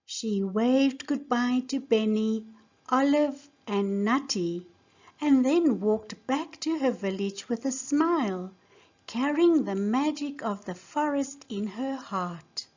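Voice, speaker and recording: A woman teacher giving a scripted lesson and narrating a storybook in a deliberate, simple way for young learners. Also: fairly high pitch, expressive, darkish tone, highs cut off. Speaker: English teacher reading a children's story.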